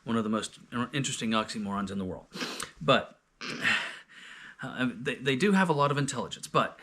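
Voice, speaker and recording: A man's voice: medium pitch, animated, moderate pace, pushed and bright. A man hosting a casual, unscripted discussion, often searching for words and restarting his sentences as he goes. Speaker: host of a scripture reading discussion.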